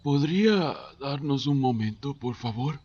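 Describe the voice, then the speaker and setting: An actor playing a patient, concerned, fatherly man who talks in a measured, formal way in a Spanish fan dub. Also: medium pitch, animated, a little mellow, slight background noise, natural voice. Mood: gentle, reassuring. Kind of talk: Spanish fandub voice acting. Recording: dull lo-fi audio, music behind.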